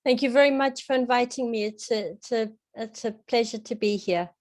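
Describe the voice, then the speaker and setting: A woman giving measured, earnest interview answers as a guest analyst, deliberate and often pausing. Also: fairly high pitch. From South Africa. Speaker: interview guest, political analyst and website creator.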